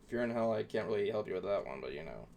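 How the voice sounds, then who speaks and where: A man's voice: fairly low pitch, lively intonation, rapid-fire, gravelly, a little mellow. A man from Florida with a nasal voice and a Philadelphia accent, rambling with restarts in casual talk-show chat.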